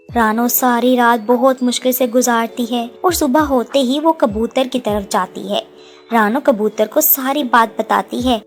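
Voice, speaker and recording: A woman with a fairly high voice works as a narrator. She reads a scripted tale for an animated children's story.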